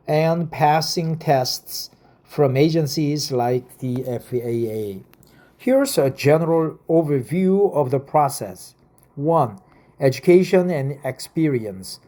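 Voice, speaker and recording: A man in his fifties from South Korea with a Korean accent, reading information aloud with casual personal asides. He is halting and deliberate and often repeats phrases. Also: on the high side, dark tone. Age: 50s. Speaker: vlogger explaining a career path.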